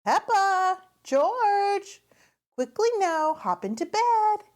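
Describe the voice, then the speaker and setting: Woman playing a motherly storybook pig, gentle, soothing, slow-paced, expressive rises and falls. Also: extremely high pitch, voice pushed up, exaggerated voice. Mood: urgent, motherly. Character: gentle, sleepy mother pig telling bedtime stories.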